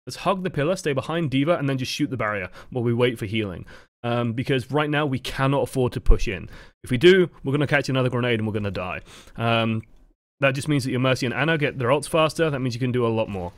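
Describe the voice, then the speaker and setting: A man's voice: mid-range voice, lively intonation, quick pace, dry recording. A man with a dry voice, giving casual, explanatory coaching commentary over gameplay footage. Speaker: game coach.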